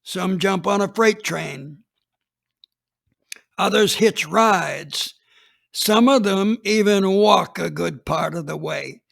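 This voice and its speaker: A man's voice: high-pitched, slow, creaky, a bit bright. A man reading a dramatized first-person story as a police chief, plainspoken and matter-of-fact.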